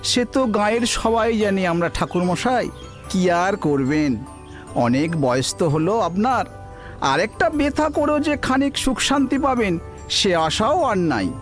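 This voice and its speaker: A chatty, respectful village neighbor, as a man acts him out. He speaks politely and deferentially in a fairly high voice, with faint music behind, in an amateur radio play. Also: big pitch swings, moderate pace.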